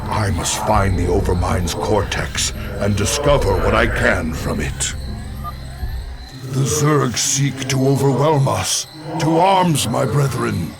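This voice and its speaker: Gravelly, brooding alien warrior mystic. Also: male voice, on the low side. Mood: urgent. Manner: solemn, dramatic, deliberate archaic phrasing. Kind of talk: scripted game narration and dialogue. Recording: loud game music behind.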